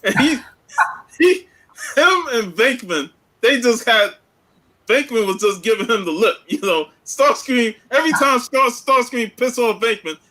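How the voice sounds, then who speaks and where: Bright, sharp-voiced man chatting. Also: extremely high pitch, animated, measured pace. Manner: easygoing, colloquial, peppered with "you know". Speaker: podcast panelist. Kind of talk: casual chat.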